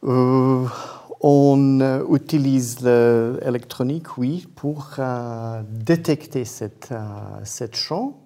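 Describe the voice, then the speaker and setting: A man with a foreign accent, a non-native speaker giving careful, halting explanations as an interview guest and searching for his words. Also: mid-range voice, fairly even intonation, warm tone. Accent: foreign accent in French. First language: not French. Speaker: guest, independent researcher and inventor.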